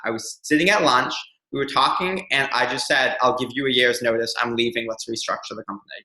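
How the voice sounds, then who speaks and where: A man's voice: medium pitch, a little fast, pushed and bright, reverberant, low-quality recording. A young man speaking as an interview guest, telling a personal story in an earnest, reflective way with frequent ums.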